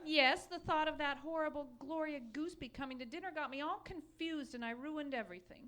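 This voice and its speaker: A woman's voice: high-pitched, brisk, noisy background, lightly acted. A woman playing a nagging, self-pitying, jealous wife in an old-time radio comedy, insistent, accusing and plaintive. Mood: jealous, scolding. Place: live theatre stage performance.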